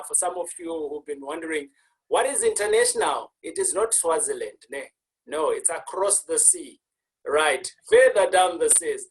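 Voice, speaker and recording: A man's voice: on the high side, poor audio. A man hosting an interview, joking and playful. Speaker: host. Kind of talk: casual interview.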